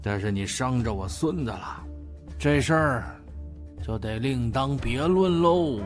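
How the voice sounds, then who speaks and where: A man acts a shrewd old grandfather in a Chinese radio play, with a middling-pitched voice. He drawls folksy, rhetorical threats with stern authority. Light music plays underneath. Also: animated, unhurried, close to an everyday voice. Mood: stern, menacing. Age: older. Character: wealthy old grandfather, shrewd, protective, quietly menacing. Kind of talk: Chinese audio drama acting. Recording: music behind the voice.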